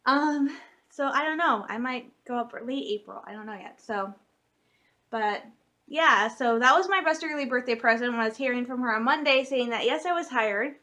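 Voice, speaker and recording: Woman, 30, vlogger; high pitch, chatty and rambling, casual to-camera chat at home. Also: normal speed, sharp tone. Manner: chatty and rambling, with frequent fillers and laughs.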